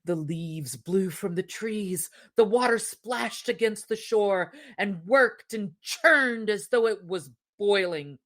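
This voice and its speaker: A woman narrating a fairy tale as an expressive storyteller. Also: mid-range voice, slow pace, few pauses. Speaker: storyteller, podcast reader.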